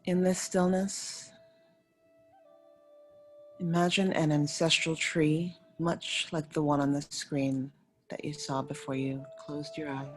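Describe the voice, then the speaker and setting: Low voiced lady doing guided meditation, soothing n unhurried, lots of pauses, soft music in the back. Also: flat delivery. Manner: soothing, unhurried, instructing in short phrases with pauses. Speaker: yoga nidra meditation teacher. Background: faint music.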